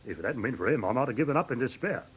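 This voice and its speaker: An actor playing a flustered, credulous English pawnbroker in a British radio drama, hesitant and rambling as he tells his story, with a husky, rough voice. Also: medium pitch, sing-song, rapid-fire. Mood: animated recounting. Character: flustered, credulous red-haired male London pawnbroker, excitable storyteller.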